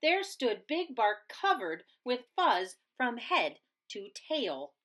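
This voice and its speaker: A woman's voice: on the high side, very animated, takes it slowly, fairly bright tone. A woman teacher reading a children's storybook aloud with dramatic, emphatic expression.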